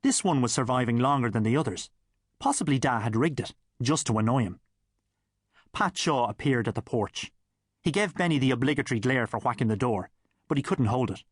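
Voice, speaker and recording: Male Irish narrator for humorous audiobook: animated delivery, medium pitch, dry studio recording. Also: fairly quick, husky, no room sound. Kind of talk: scripted comic story narration.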